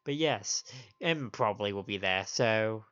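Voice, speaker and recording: A man's voice: fairly low pitch, lively intonation, unhurried, fairly bright tone, smooth. A man chatting casually as a livestream host, sniffly, with hesitant, rambling asides. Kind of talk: casual stream chat. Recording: dull lo-fi stream audio, faint music.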